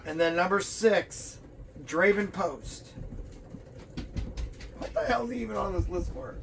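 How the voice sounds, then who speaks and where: A man's voice: sits high, expressive, measured pace, fairly bright tone. A man hosting a wrestling show, joking and rambling through a casual rundown with stammered restarts. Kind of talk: casual chat and rundown of rankings. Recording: clear background room noise.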